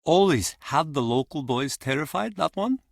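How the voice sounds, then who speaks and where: Embittered old sea dog for adventure game: gruff, blunt, high for a man, very swooping delivery. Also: lively intonation, no room sound, clean studio sound. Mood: wry. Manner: theatrical, blunt, halting old-salt turns of phrase. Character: gruff, embittered, grieving old Icelandic fisherman and pub regular. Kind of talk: video game character acting.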